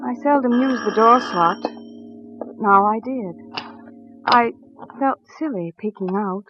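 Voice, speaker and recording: A woman's voice: a little high, measured pace, close to an everyday voice. A woman playing an anxious, devoted wife in a 1940s radio suspense drama, speaking tenderly and earnestly. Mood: uneasy narrating. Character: anxious, devoted wife telling her own suspense tale. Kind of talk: 1940s radio drama acting and first-person narration. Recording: dull vintage radio recording, music behind.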